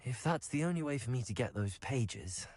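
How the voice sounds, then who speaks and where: An actor playing a determined, curious young wizard student in a video game, with a British accent and a direct manner. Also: male voice, mid-range voice, expressive, normal speed, a little mellow, lightly acted.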